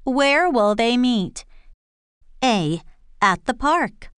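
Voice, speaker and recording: A woman reading scripted dialogue and answer options for an English listening test, slow and clearly enunciated. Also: a little high, lively intonation, no echo, studio quality.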